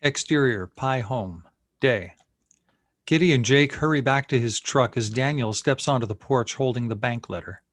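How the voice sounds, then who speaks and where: A man's voice: mid-range voice, moderate pace, no room sound, studio quality. A man reading screenplay action and scene headings as the narrator of a table read. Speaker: narrator reading stage directions.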